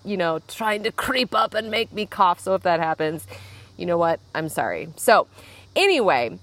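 A woman's voice: lowish voice, a bit bright, no echo. A young woman hosting a casual solo podcast, chatting and rambling from her backyard while getting over a cold with a lingering cough. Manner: chatty, rambling, frequent "like" and asides. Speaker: podcast host. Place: outdoors in a backyard.

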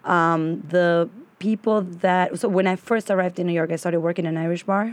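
A woman in her thirties from Argentina, a native Spanish speaker and restaurant owner, telling her story as a studio interview guest, animated and laughing between phrases. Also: fairly low pitch, brisk, no echo.

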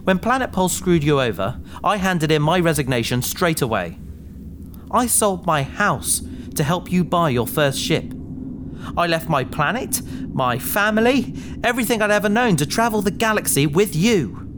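A woman's voice: fairly low pitch, lively intonation, moderate pace, noisy background, close to an everyday voice. A woman playing an earnest, loyal and anxious spaceship crew member with a polite, emotional manner in a sci-fi audio drama. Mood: hurt, devoted.

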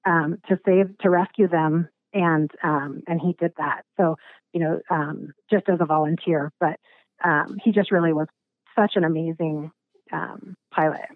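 Raspy woman on a thin phone line. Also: sits low, fairly even intonation, no echo. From Alaska. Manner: halting, many ums and restarts. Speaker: guest. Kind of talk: casual interview.

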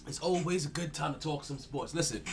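A man's voice: fairly high pitch, a little fast. A man hosting a sports podcast in a hyped, slangy way, a bit stuffy-nosed from allergies and repeating his words. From South Florida. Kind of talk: casual sports talk.

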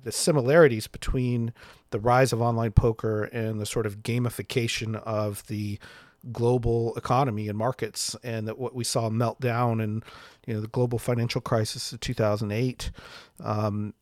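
A man hosting an interview, asking long, measured questions filled with "you know". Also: medium pitch, flat delivery, darkish tone, dry recording, clean studio sound. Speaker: interviewer host.

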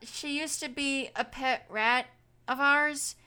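Young woman, bright, higher voice, excitable, casual podcast chat. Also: slow pace. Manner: excitable, frequent "like". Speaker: podcast co-host.

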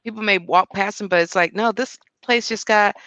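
A woman talking in casual podcast chat, measured and reflective. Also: medium pitch, fairly bright tone, no echo.

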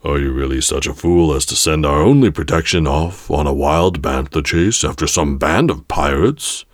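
A man's voice: very deep voice, normal speed, no echo, studio quality. A man voicing a scornful elderly male alien warrior on a council in a science-fiction story. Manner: scornful, countering.

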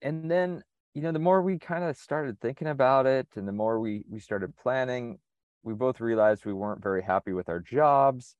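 A man from the United States, speaking in a relaxed conversational interview, thinking aloud with frequent restarts. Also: mid-range voice, moderate pace, dry recording, highs cut off. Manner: reflective, methodical, frequent restarts. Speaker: sailor and interview guest.

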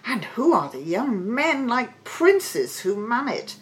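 Woman putting on an excitable, high-pitched old king. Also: moderate pace. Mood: astonished, commanding. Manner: exclamatory, imperious. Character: excitable old king, hearty and easily astonished.

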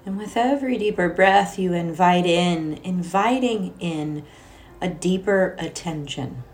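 A woman leading a guided meditation as a teacher, speaking in a gentle, soothing, unhurried way with long pauses. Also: on the low side. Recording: soft music behind the voice. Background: music.

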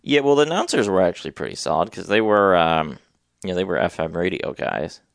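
A man with a Michigan accent trades dry, matter-of-fact quips on a sports podcast. His voice is very deep with a gravelly edge, and the room sound is dry. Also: expressive, brisk, no echo. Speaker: podcast co-host. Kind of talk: casual sports chat.

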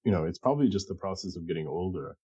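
Middle-aged man, husky low voice, halting reflective interview talk, dull recording. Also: lively intonation, warm tone, no echo. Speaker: pathologist, interview guest. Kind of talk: casual, reflective interview conversation.

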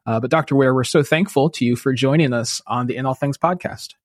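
Measured, articulate male podcast host. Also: medium pitch. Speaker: university teacher. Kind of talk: scripted podcast introduction, then conversational interviewing.

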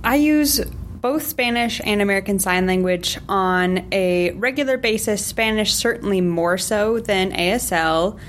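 A woman's voice: mid-range voice, a little slow, slight background noise. Articulate woman library associate. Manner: articulate, formal. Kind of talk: panel discussion.

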